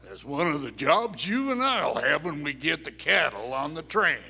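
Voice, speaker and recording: Guy doing a gravelly bright-voiced outlaw cowboy bragging in a western radio play, big echoey hall. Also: fairly high pitch, very animated, moderate pace, heavily acted. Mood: boastful, confiding. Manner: folksy drawl, bragging. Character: chatty, boastful male cowboy outlaw gang member. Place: performing arts theater stage. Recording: very reverberant hall, stage performance.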